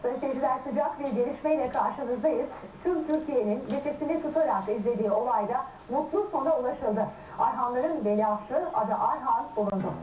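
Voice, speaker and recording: An actress playing a formal television newsreader delivering a scripted breaking-news report, heard distant and roomy. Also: female voice, sits high, normal speed, darkish tone, lightly acted. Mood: urgent, formal. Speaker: TV news presenter. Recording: roomy, distant, faintly noisy television sound.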